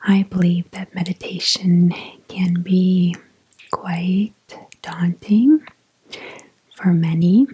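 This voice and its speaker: A woman guiding an ASMR meditation, speaking in a soft, whispery, breathy voice with gentle, deliberate pauses. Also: on the low side, steady tone, poor audio. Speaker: ASMR guided meditation host.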